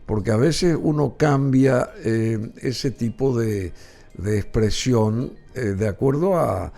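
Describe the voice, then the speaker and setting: A man's voice: medium pitch, slow, creaky, dark tone, soft background music. A male radio host with a Paraguayan Spanish accent, interviewing a guest, effusive in his thanks and rambling with many fillers.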